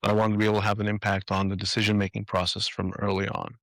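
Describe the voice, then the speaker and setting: Low-voiced man from Greece, guest. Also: monotone, fast talker, fairly bright tone, no echo. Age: 50s. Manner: reflective, with restarts and fillers. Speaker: guest, design leader. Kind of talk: interview answers. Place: apartment.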